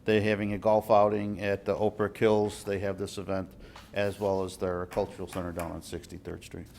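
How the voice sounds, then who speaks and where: A man with a lowish voice presents a formal report at a village board meeting. He is businesslike and pauses on fillers and restarts as he goes. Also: monotone, creaky, a little mellow, slight background noise. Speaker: deputy liquor commissioner.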